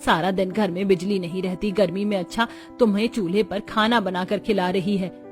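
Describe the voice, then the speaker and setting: A woman's voice: mid-range voice, steady tone, moderate pace, faint music behind, natural voice. A woman playing poor, dutiful and resourceful village housewives in a cartoon story. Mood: scolding. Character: poor village women, dutiful resourceful daughters-in-law and scolding mother-in-law.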